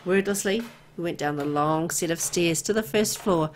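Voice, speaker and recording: A woman's voice: lowish voice, normal speed, soft background music. A woman reading scripted story narration from a visual novel game.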